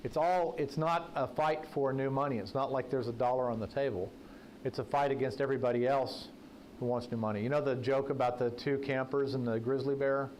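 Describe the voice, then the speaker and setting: A man's voice: mid-range voice, steady tone, a little fast, a little mellow, slight background noise, poor audio. Folksy man giving a talk. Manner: folksy, anecdotal, joking asides. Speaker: guest speaker, political journalist. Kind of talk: conversational talk with audience Q&A. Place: breakfast event room.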